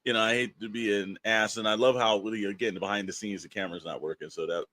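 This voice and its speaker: A man's voice: lowish voice, steady tone, fast talker, pushed and bright. A man co-hosting a podcast, talking in a casual, slangy, emphatic storyteller's way that rambles along. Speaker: podcast co-host.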